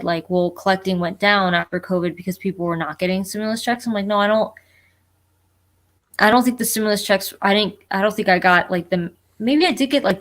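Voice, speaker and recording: A woman from Florida chats casually on a livestream video call, poking fun at herself as she goes. Her pitch sits in an ordinary middle range. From Florida, United States. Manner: chatty, self-deprecating.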